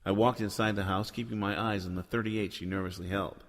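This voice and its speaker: An actor playing a sardonic, deadpan noir detective who both narrates and talks in a radio drama. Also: male voice, lowish voice, fairly quick, natural voice. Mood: brooding narration. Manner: sardonic, deadpan, world-weary. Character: cynical wisecracking hardboiled male noir detective, Joe Smoke.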